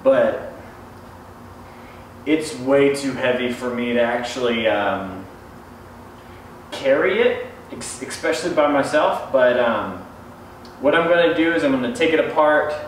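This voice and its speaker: A young man talking casually and a bit haltingly to camera, explaining a DIY job as a vlogger. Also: mid-range voice, slight background noise, low-quality recording. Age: young adult. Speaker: DIY bus conversion vlogger.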